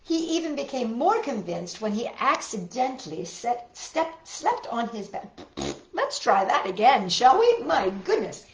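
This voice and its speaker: A woman hosting a children's storytime, reading picture books aloud with expressive, exaggerated delivery. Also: fairly high pitch, measured pace, slight background noise. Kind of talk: animated picture-book read-aloud and sing-along for young children. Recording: roomy echo with guitar music.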